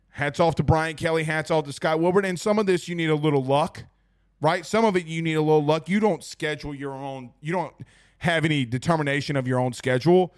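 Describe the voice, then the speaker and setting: A man hosts a sports talk show, his voice hoarse and pitched a little high. He talks in excitable bursts and hammers his points home by saying them twice. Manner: excitable, emphatic, repeats lines for effect. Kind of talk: casual sports talk show, reading viewer comments.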